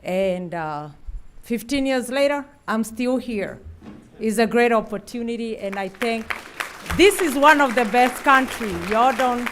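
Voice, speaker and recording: A woman's voice: on the high side, unhurried, a bit bright, some chatter behind, a little hiss or hum. A middle-aged woman from Kenya, a Navy chief speaking earnestly and gratefully at a ceremony, then calling out names. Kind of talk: ceremony speech.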